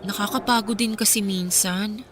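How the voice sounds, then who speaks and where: A woman's voice: mid-range voice, steady tone, normal speed, a bit bright, faint music behind, natural voice. An actress playing a weary, resigned young woman in a radio drama, confiding in a subdued, reflective way. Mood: regretful. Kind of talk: Filipino radio drama dialogue.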